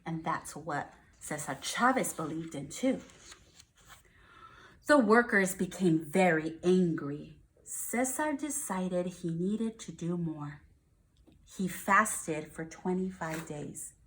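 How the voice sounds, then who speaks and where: A woman's voice: on the low side, expressive, takes it slowly, rough recording. An American woman, a first grade teacher, reads aloud to young children and explains things simply, checking in with questions.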